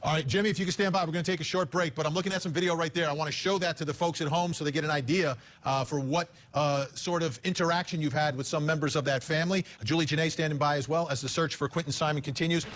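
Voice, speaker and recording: A man anchoring live news and interviewing in a conversational, slightly halting manner. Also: on the high side, steady tone, fairly bright tone.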